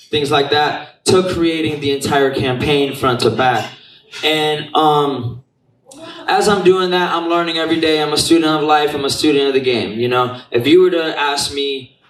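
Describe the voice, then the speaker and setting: A man from Puerto Rico who owns a marketing business, talking to an event crowd in a loose, profane style full of street slang. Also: medium pitch. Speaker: marketing business owner giving a talk. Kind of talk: casual talk to an audience. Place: event venue. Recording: roomy sound from a distance.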